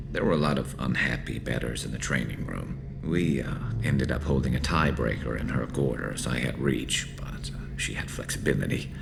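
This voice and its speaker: A man playing a loyal, battle-weary male alien soldier in a video game, with a dry, wry, measured delivery. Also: very deep voice, gravelly, noisy background, heavily acted.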